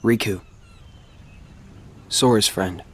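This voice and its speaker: A man's voice: fairly low pitch, slow, slightly rough. An actor playing a reserved, brooding teenage boy in a video game, understated, with an American accent. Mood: guarded, calm. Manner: understated, guarded. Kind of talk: video game cutscene acting.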